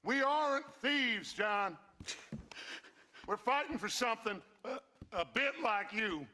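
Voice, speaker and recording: A very high, forceful voice for a grandiose outlaw boss in a Western game. He takes his time over every taunt, theatrical and menacing. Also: expressive, slow, slight background noise, heavily acted. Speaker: actor in a video game cutscene.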